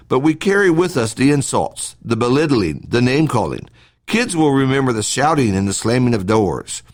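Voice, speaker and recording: A man reading a scripted devotional podcast as a preacher, in a measured, earnest, instructive way. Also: a little high, gravelly.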